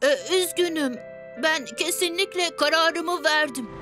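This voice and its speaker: A woman's voice: sits high, measured pace. A woman voicing a kind, hesitant young male talking bus in a children's cartoon, speaking politely and sincerely. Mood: earnest, resolute. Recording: music behind the voice.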